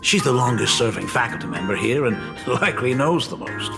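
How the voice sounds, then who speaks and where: Brief: video game dean, middle-aged father, apologetic and lively in pitch, with a gravelly edge. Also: brisk. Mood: measured. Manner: polite, hesitant, apologetic. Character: male dean, father, gentle and regretful. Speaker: school dean. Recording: reverberant game audio with music.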